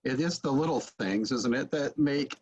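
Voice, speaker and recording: A man in his forties interviewing conversationally over a video call, halting as he thinks aloud. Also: mid-range voice, darkish tone, husky. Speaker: interviewer and drama teacher. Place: book-lined home room, video call. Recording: dull lo-fi video call audio.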